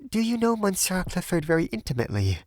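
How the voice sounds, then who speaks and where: A man voicing a shy, nervous young Parisian woman in an audiobook, soft and hesitant, with an earnest delicacy. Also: sits high, big pitch swings, fast, no room sound, voice pushed up. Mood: grave, hesitant. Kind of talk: audiobook character voice.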